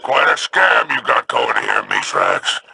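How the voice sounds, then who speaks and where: Growling, gravelly alien crime boss. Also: male voice, medium pitch, wildly swooping pitch, measured pace, sharp tone, dry recording, heavily acted. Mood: mocking, sly. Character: gruff, greedy, sly alien crime boss. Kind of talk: video game character dialogue.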